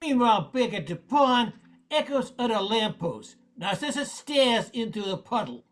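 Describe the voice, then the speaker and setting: A world-weary storyteller from a noir radio comedy, acted by a woman. The voice sits a little high and moves in animated swings, wry throughout. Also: moderate pace. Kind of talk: amateur noir radio narration.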